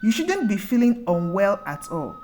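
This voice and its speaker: A woman voicing a reasonable, concerned village elder in a folktale, with a measured, considered manner. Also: medium pitch, big pitch swings, a little mellow, faint music behind, close to an everyday voice. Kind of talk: folktale storytelling.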